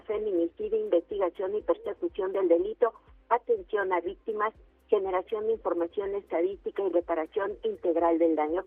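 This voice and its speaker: A reporter with a Mexican accent reading a formal news report over the phone. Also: female voice, mid-range voice, slightly rough, no room sound. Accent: Mexican Spanish. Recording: tinny phone line, dry.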